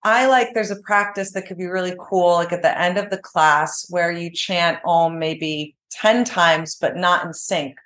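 A woman with a raspy voice, talking casually and eagerly about teaching yoga on a podcast. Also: lowish voice, unhurried, hardly any pauses, a bit bright. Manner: enthusiastic, many "like" fillers.

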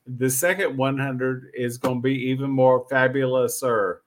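A man's voice: medium pitch, steady tone, moderate pace. A man hosting a podcast as a casual chat, effusive and joking, often repeating his phrases. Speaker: podcast host.

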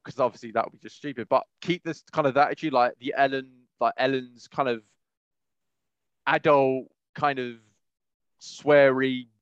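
A man's voice: medium pitch, fairly even intonation, normal speed, clean tone, no room sound, muffled recording. A man chatting casually as a podcast co-host in a rambling, enthusiastic way. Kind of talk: casual chat.

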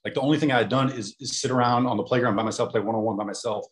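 A man's voice: mid-range voice, fairly even intonation, rapid-fire. A man in his thirties, a former pro basketball player, telling his story in an emphatic, confident way. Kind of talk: casual interview.

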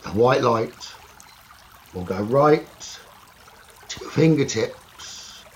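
A man leading a guided meditation slowly and soothingly, in short phrases with long pauses. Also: mid-range voice, animated. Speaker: meditation teacher. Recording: running stream water noise behind voice. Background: trickling stream water ambience.

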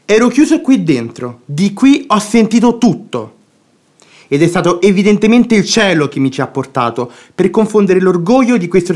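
A man's voice: high-pitched, a little mellow. An actor playing a hot-headed young nobleman in a radio drama, declaiming emphatically with righteous indignation. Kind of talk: theatrical radio drama acting.

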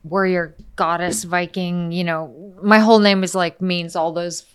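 A woman's voice: on the low side, steady tone, a little slow, clean tone. A woman whose first language is Icelandic, a guest on a casual podcast, chatty and upbeat. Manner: chatty, upbeat, laughs easily.

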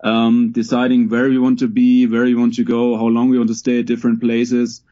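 A man, a guest in a casual interview, talking in a relaxed way with many fillers. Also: mid-range voice, a little fast, highs cut off. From Germany.

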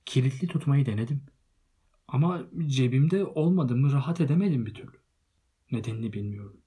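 A man voicing an elderly male hobbit who is possessive and touchy, with shrill outbursts and covetous whispers. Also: expressive, brisk, warm tone, breathy edge. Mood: shaken.

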